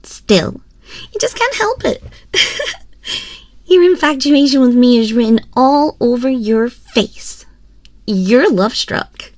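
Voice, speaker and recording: A woman acts out a small, haughty empress who toys with her listener. Her delivery is slow and drawn out, condescending and teasing, sometimes slipping into a breathy hush. It is an ASMR roleplay, recorded very close and dry. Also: on the high side, expressive, dry recording. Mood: teasing, smug. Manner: imperious, slow, theatrical, teasing drawl with breathy whispers. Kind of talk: ASMR roleplay, scripted character monologue. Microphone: close binaural microphone.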